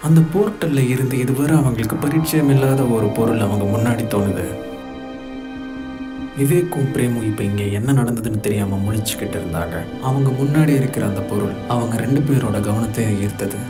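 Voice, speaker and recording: A man's voice: medium pitch, moderate pace, close to an everyday voice. A man playing the storytelling narrator of a comic adventure, reading scripted narration. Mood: suspenseful storytelling. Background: loud music.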